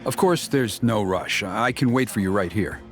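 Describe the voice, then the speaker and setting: An actor playing an older village elder in a video game, courteous and emphatic, genial yet burdened with worry. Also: male voice, lowish voice, moderate pace, faint music behind, close to an everyday voice. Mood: genial welcome. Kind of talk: animated game voice acting.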